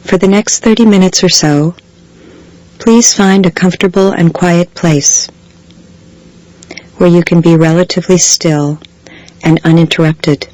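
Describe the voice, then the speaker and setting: A woman guiding a body scan meditation in a slow, measured way, leaving long pauses between phrases. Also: lowish voice, fairly even intonation, darkish tone, rough recording. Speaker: meditation guide. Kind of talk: guided body scan meditation, scripted soothing instruction.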